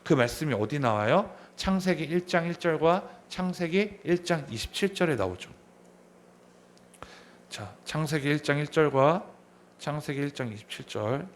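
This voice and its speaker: A pastor in his thirties preaches from the pulpit in a voice that sits a little high for a man. He often asks the people in front of him questions and says his main points more than once. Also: lively intonation, moderate pace, slight background noise. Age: 30s. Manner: asks the congregation questions, repeats key phrases. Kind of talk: sermon. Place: church sanctuary.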